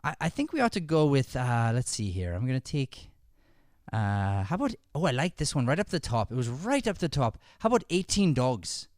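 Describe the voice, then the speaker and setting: A man's voice: medium pitch, very animated, moderate pace, darkish tone, no room sound. A man with a put-on Irish accent, hosting a live improv show with casual banter to the audience on a close microphone. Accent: put-on stage Irish. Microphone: close podcast microphone.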